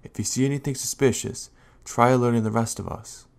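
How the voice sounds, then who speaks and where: A man playing a calm, responsible Raichu guardian in an audio drama, speaking in a measured, explanatory way. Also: medium pitch, creaky, lightly acted. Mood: earnest, explaining. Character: calm, helpful adult male Raichu guardian, steady and responsible.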